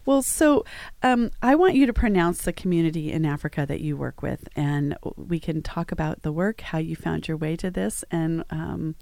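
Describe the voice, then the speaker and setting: A woman host with a slightly low voice leads a studio conversation. She asks friendly, prompting questions, and the sound is dry and close. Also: animated, normal speed, gravelly, few pauses, darkish tone, dry recording. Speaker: interview host. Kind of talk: studio interview.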